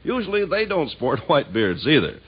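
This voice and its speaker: A genial male radio announcer with a husky, mid-pitched voice that swings widely. He delivers folksy scripted banter on a slightly muffled vintage recording. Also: wildly swooping pitch, normal speed.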